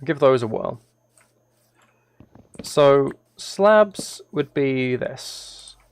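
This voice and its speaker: Male game streamer, gravelly creak, mid-pitched, casual and thinking aloud. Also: animated, drawn-out words, no room sound. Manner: thinking aloud, self-correcting. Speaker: live streamer. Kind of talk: casual gaming livestream commentary, chatting with viewers.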